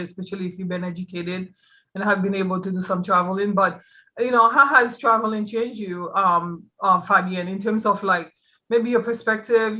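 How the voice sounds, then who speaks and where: A woman hosting a casual talk show, chatting and asking guests questions in a relaxed way with many fillers. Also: medium pitch. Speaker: host.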